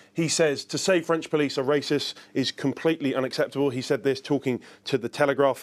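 A man giving a live press review on a news programme, explaining what the papers say. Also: medium pitch.

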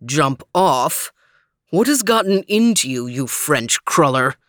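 A woman voicing a big, pompous male dog detective in a storybook, with a deep, booming voice and a wordy, leisurely manner. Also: very animated, takes it slowly, a bit bright, no echo, studio quality, full character voice. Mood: indignant. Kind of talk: storybook character reading.

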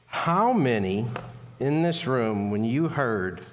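A man's voice: medium pitch, very animated, slow. A man preaching to a congregation in a chatty, joking, storytelling way. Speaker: guest preacher. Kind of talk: conversational sermon opening with humor.

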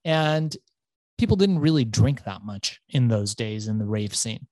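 A man from Iran, whose first language is Farsi, telling his life story in an interview with animated, profane energy. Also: medium pitch, a little slow, no echo. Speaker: entrepreneur guest.

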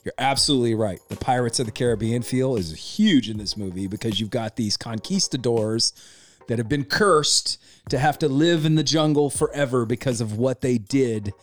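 A man's voice: mid-range voice, moderate pace, faint music behind. A man co-hosting a casual podcast, giving a rambling, opinionated movie review. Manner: opinionated, rambling, animated retelling. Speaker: podcast co-host.

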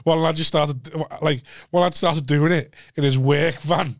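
Jokey, swearing man, a bit high. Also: fairly even intonation, unhurried, no echo, studio quality. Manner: swearing, jokey, laughing along. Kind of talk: casual podcast banter.

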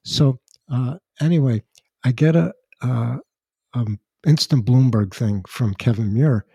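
Older man, raspy, husky, mellow tone, dry sound, rambling storyteller. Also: mid-range voice, measured pace, no room sound. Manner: rambling anecdotes with dry asides. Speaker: podcast co-host. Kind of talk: casual chat, storytelling.

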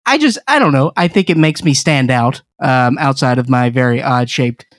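A man from the American South with a Southern accent, chatting haltingly and self-deprecatingly as a podcast co-host. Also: mid-range voice, expressive, no echo, studio quality. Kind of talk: casual chat.

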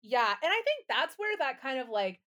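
A woman's voice: high-pitched, very animated, moderate pace, pushed and bright. A woman co-hosting a podcast in casual banter, with a wry, quippy way of talking. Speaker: podcast co-host.

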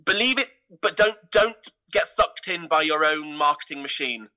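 A middle-aged man with an English accent, talking in an animated, emphatic way as an interview guest and repeating words for emphasis. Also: on the high side, moderate pace. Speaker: theatre company artistic director, interview guest. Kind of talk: animated anecdotal interview talk.

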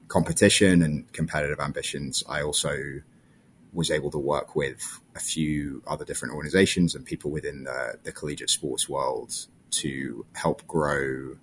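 Very deep-voiced young Englishman. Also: fairly bright tone, slight background noise. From London, England. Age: 20s. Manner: friendly and reflective, with small restarts. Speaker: guest. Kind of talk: casual interview answers.

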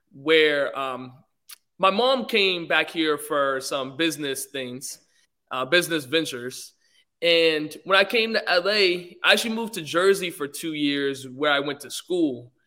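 A man's voice: fairly high pitch, animated, measured pace, bright, forceful tone. A young man from England, a guest on a podcast, talking politely and easygoingly with many fillers. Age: young adult. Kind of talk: casual interview.

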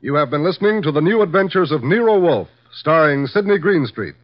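A male announcer giving a measured, formal closing announcement. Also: on the high side, expressive. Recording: dull lo-fi 1950 radio transcription. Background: music.

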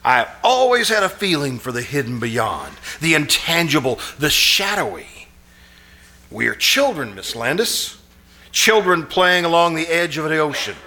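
A man acts out an anxious man gripped by an obsession in an old-time radio thriller. His voice sits a little high and swings widely, halting and pleading with dramatic earnestness. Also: sing-song, pushed and bright, exaggerated voice. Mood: brooding, intense. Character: anxious, haunted man obsessed with a shadowy vision. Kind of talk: live old-time radio suspense acting.

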